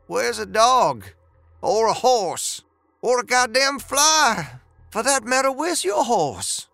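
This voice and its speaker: A man's voice: extremely high pitch, sing-song, slow, gravelly, a bit bright, no room sound, faint music behind, voice pushed up, full character voice. A man voicing an agitated, evasive townsman who talks in a strained, insistent way in a western audiobook. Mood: suspicious, needling.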